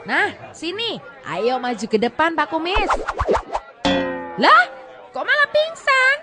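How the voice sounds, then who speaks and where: A woman playing a lively forum moderator who playfully coaxes and rallies a shy public, with a crowd around her. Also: extremely high pitch, slow, over loud music, full character voice. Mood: teasing, coaxing. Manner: coaxing, rallying, playful. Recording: crowd noise behind.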